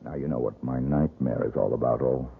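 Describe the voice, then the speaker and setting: A man's voice: very deep voice, steady tone, fairly quick, vocal fry, slightly rough, exaggerated voice. An actor playing a haunted young man scarred by his childhood in a 1970s radio drama, speaking intensely and broodingly. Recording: tinny 1970s radio broadcast.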